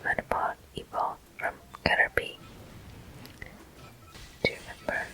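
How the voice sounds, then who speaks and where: Slow, bright ASMR whisper, game commentary. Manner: soft, deliberate, frequent pauses. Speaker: host of gameplay walkthrough.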